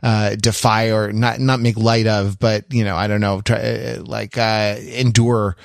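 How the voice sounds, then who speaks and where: A man's voice: on the low side, flat delivery, measured pace, vocal fry, no room sound. A middle-aged American man chatting analytically on a podcast, digressing and often searching for his words. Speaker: podcast panelist. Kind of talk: casual analytical chat.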